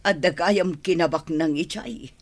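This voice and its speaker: A woman's voice: fairly low pitch, unhurried. A woman playing a scheming, money-minded mother in a village radio drama. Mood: grumbling.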